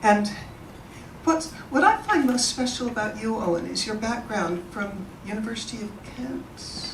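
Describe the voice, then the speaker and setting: A woman's voice: on the high side, animated, moderate pace. A woman moderating an in-person event, talking to the audience in a chatty, enthusiastic way and introducing speakers. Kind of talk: informal presentation to an audience. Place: event room. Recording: roomy, distant mic, background noise.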